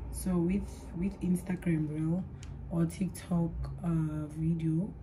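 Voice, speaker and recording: A young South African woman with a low, mellow voice rambles casually to her viewers while doing her makeup, mixing languages as she talks. A faint hum of room ambience sits behind her. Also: steady tone, slow. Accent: South African, mixing in Sepedi. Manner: chatty and rambling, switching languages mid-sentence, trailing off into soft asides. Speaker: vlogger.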